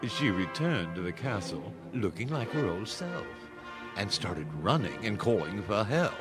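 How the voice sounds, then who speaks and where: Slow, low male storybook narrator. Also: sing-song, loud music behind the voice. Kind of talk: storybook radio play narration.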